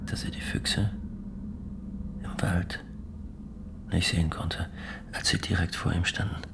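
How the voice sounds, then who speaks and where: A grim farmer husband in a radio play, very low and creaky, often close to a whisper. He broods with stubborn pride and self-justifying conviction. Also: level tone, measured pace. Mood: feverish certainty. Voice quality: hushed, gravelly.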